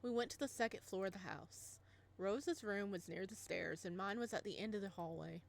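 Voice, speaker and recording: A woman voicing a gentle, doting father, narrating softly and tenderly in a visual novel. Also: medium pitch, normal speed, vocal fry, natural voice. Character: gentle doting father, a modest physics teacher.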